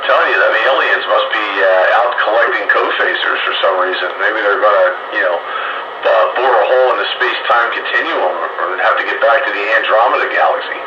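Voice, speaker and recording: A man's voice: fairly low pitch, a little fast, dull audio. A man chatting casually over two-way radio as a fellow hobbyist, with a wry, informal way of talking. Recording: distant, echoey, very noisy radio signal.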